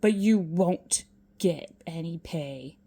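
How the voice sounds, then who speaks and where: A gruff old miser played by a woman from a storybook. He is dismissive and scolding, snapping out curt refusals in an unhurried, mellow voice. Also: fairly low pitch, exaggerated voice. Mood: grumbling.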